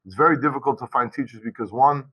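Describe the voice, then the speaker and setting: Rambling male teacher on a video call, casual panel talk, mid-pitched, lots of restarts and asides. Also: quick pace, breathy edge, muffled recording. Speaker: high school Portuguese teacher. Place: school.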